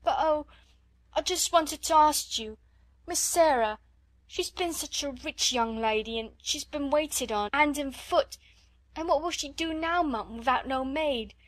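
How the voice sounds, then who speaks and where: A woman's voice: high voice, moderate pace, no echo, pitched above the performer's own voice, lightly acted. A woman voicing a timid, tearful young scullery maid who pleads in a servant's dialect. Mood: tearful pleading.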